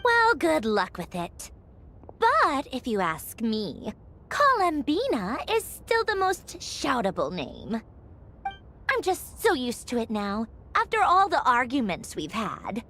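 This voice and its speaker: A woman's voice: high-pitched, huge pitch swings, takes it slowly, bright, forceful tone, slight background noise, full character voice. A woman playing a proud, short-tempered, grumbling female villain officer in a video game, with a haughty, blunt manner. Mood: grudging. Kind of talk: English video game voice acting. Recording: background music under the voice.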